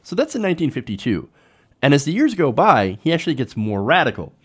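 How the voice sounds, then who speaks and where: A man's voice: a little high, very animated, quick pace, slightly rough. A man hosting a political commentary show, talking informally to viewers in a conversational way with rhetorical tag questions.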